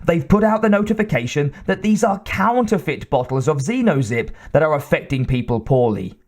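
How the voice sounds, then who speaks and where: A timid little scientist with a nasal twang stammers through his answers, as a man reading the story puts him on. The voice sits a bit higher than the narrator's own. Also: animated, normal speed. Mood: nervous.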